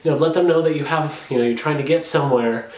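A man's voice: on the high side, quick pace, a little mellow. A young man in his twenties giving casual advice straight to camera in a small bedroom, rambling with lots of fillers and restarts, recorded on the camera. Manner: rambling, frequent fillers and restarts, laughs between points. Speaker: travel blogger. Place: small bedroom with bare wall. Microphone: camera on a makeshift tripod. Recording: roomy, dull lo-fi camera audio.

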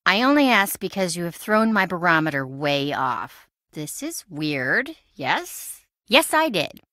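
A woman's voice: on the low side, very animated, a little slow, pushed and bright, dry recording, studio quality, full character voice. An actress voicing a chatty, impulsive young woman in a video game, breezy, wry and self-dramatizing. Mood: breezy, dramatic. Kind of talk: video game voice acting, conversational.

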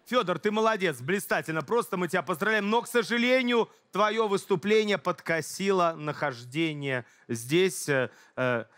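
A man's voice: high voice, moderate pace, a bit bright, no echo, studio quality. Emphatic male TV show host. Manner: emphatic, rising announcements. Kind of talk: TV show hosting.

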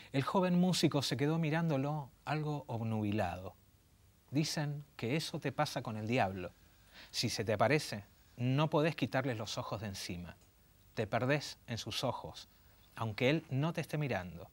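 A man in his forties with an Argentine Spanish accent, hosting and reading a story aloud in an intimate, theatrical way with slow, dramatic pauses. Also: mid-range voice. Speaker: host and narrator. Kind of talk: late-night story reading. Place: small dim studio set.

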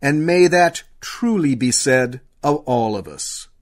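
A man's voice: mid-range voice, animated, slow pace. A man narrating a radio play in a dramatic storytelling style.

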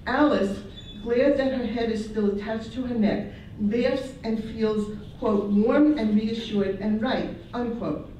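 A woman reads her scholarly paper at an unhurried pace, with a soft, mellow tone and occasional restarts. She is picked up from across an echoey classroom. A faint hum of room noise sits behind her. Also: on the high side, far from the mic. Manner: slow, measured reading with restarts. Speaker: symposium presenter. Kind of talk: academic paper reading. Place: university classroom.

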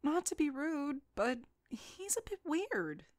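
A woman acts out a nagging audiobook mother, disapproving of her teenage son. Her voice is high and swings widely in pitch as she lays down the rules. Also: big pitch swings, slow, darkish tone, heavily acted. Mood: stern disapproval.

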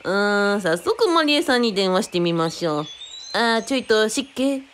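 A woman playing an excitable, vain male cult leader with theatrical, fawning politeness in a radio drama. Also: medium pitch, moderate pace, a little hiss or hum, lightly acted. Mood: confident, genial.

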